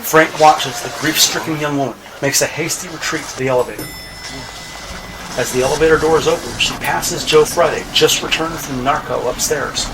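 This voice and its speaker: A man's voice: on the high side, normal speed. A man reading scripted narration for a crime audio drama. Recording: noisy ambient sound bed.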